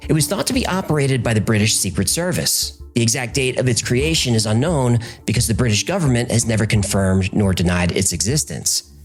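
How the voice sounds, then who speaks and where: A man's voice: medium pitch, animated, a little fast, faint music behind. A man narrating a scripted documentary podcast as its host, in a storytelling format.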